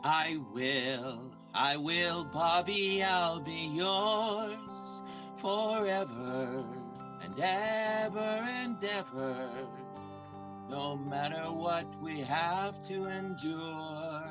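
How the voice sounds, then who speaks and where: A man's voice: fairly high pitch, extremely slow, music in the background. A man playing an earnest, lovestruck vaudeville groom in an improvised radio play, with a sincere, simple manner. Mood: romantic, devoted.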